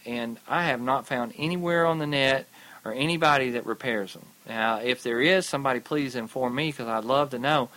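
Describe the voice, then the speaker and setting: A man giving practical repair advice on a casual podcast. He talks in a relaxed, plainspoken way, walking through checks step by step. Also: mid-range voice. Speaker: repair expert co-host.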